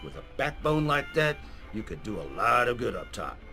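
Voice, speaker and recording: Gung-ho American drill-sergeant coach, played by a male actor, bombastic and proud, wide-swinging pitch, game dialogue over music. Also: very animated, normal speed, vocal fry, bright, forceful tone, exaggerated voice. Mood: approving, gruff, familiar. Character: gruff, gung-ho vault military coach, patriotic man. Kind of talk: scripted video game character acting. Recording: music behind the voice.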